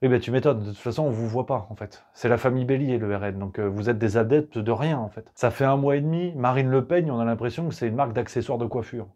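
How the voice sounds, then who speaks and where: A man's voice: medium pitch, fast, hardly any pauses, mellow. A man in the middle of the male range, riffing with sarcasm and crude jokes. His talk is loose and chatty, full of asides to the listener. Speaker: host. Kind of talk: satirical political commentary.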